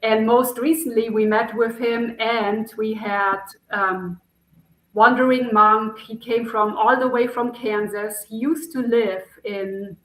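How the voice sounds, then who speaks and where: Bright, lively German-accented woman. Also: fairly high pitch, slow, reverberant, poor audio. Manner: enthusiastic, rambling storyteller. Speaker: podcast guest. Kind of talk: casual podcast chat.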